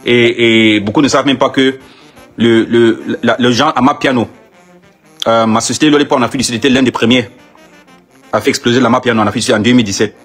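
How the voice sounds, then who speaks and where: Emphatic husky-voiced man rallying fans. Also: mid-range voice, steady tone, fast, fairly bright tone, soft background music. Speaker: artist manager. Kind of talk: live address to fans.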